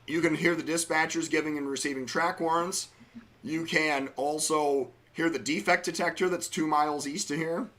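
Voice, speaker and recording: A middle-aged man hosting a live train-watching stream, chatting casually in railroad jargon, his voice slightly hoarse from a cold. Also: fairly high pitch, steady tone, normal speed, a bit bright. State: slightly hoarse, under the weather.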